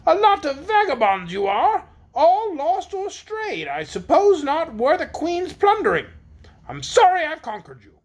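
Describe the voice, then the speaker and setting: A shrill, imperious queen from a storybook, acted out by a woman narrator, pitched very high. She is scornful and short-tempered, and she hands down commands as if to an army beneath her. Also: sing-song, fairly quick. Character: haughty, imperious, quick-tempered young queen leading an army. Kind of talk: storybook character voice.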